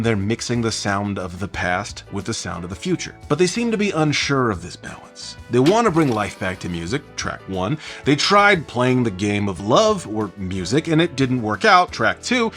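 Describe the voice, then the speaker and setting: Animated conversational man explaining music. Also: medium pitch. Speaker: music commentator, host. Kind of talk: enthusiastic video essay commentary on an album.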